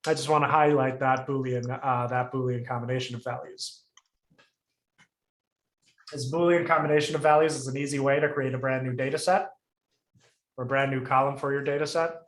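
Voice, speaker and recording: A man explaining code on a video call, his middle-pitched voice pausing and restarting as he works things out. The sound is roomy, with a clear echo around him. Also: steady tone. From Puerto Rico. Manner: thinks aloud, frequent restarts and fillers. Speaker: instructor. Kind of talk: live coding lesson review.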